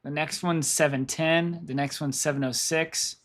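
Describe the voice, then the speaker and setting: A man with a slightly raised pitch talks viewers through trading charts on a live stream. His voice is going hoarse, and he rambles, repeats phrases and thinks out loud as he clicks around. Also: fairly even intonation, moderate pace. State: losing his voice. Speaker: stock market streamer.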